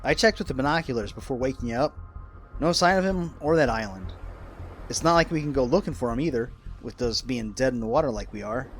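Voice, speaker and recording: A male actor plays an excitable, nervy sailor in a radio drama. He talks bluntly and colloquially, with doubtful edge and big swings in pitch, over a music bed. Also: a little fast, close to an everyday voice. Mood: urgent, blunt.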